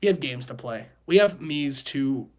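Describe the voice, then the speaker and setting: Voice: medium pitch, expressive, moderate pace, darkish tone. A young adult podcast host chatting casually in a group, jokey and given to repeating phrases.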